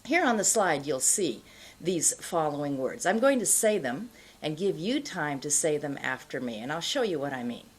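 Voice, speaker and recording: A middle-aged woman giving an instructional pronunciation lesson, explaining in a deliberate, carefully enunciated way. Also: deep voice, big pitch swings, breathy edge. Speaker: pronunciation teacher. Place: indoor studio, plain backdrop.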